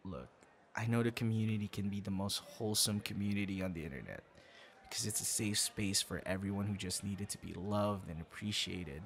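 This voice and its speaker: A man playing a bashful young man with a secret hobby in a boyfriend audio roleplay, talking in a halting, flustered, conversational way. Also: fairly low pitch, a bit bright. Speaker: ASMR audio roleplay performer. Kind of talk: intimate boyfriend ASMR roleplay acting. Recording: clear background noise, ambience.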